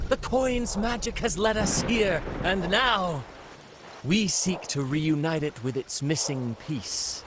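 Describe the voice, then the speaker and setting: An actor playing a flamboyant, charming young bard in a video game, with a theatrical, flourishing delivery. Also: male voice, high voice, slow, noisy background. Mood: grand, proclaiming. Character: flamboyant young male bard and troupe performer, charming showman.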